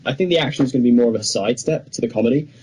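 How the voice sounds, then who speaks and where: A man's voice: mid-range voice, rapid-fire, darkish tone, breathy edge, low-quality recording. A young man co-hosting a podcast, talking casually and chattily about films with firm opinions. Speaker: podcast co-host. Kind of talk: casual film discussion.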